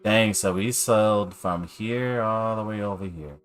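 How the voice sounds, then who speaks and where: Low-voiced man streaming a game. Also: unhurried. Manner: chatty, reacting aloud to the game. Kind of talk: casual live gameplay commentary, reading screen text. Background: game music.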